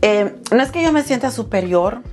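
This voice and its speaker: A woman's voice: on the high side, expressive, moderate pace, darkish tone, faint music behind. A Salvadoran woman in her thirties, hosting her channel and telling her life story in a heartfelt, personal way, calling her viewers "chulis". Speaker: channel host.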